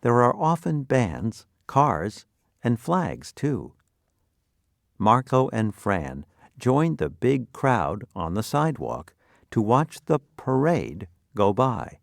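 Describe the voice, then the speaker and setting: A man's voice: medium pitch, big pitch swings, takes it slowly, gravelly, no echo, studio quality. A man narrating a scripted vocabulary lesson, reading out definitions and example sentences.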